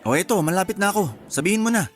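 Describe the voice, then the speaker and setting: A man's voice: high-pitched, expressive, racing, soft background music. An actor playing an easygoing, put-upon young man in a radio drama, with a casual, protesting manner. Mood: curious.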